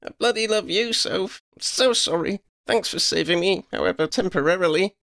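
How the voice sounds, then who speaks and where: A man's voice: very high-pitched, lively intonation, moderate pace, creaky, fairly bright tone, voice pushed up, exaggerated voice. A man voicing an earnest, fiery young woman, a witch in a storybook, pleading and emphatic. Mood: fond, apologetic.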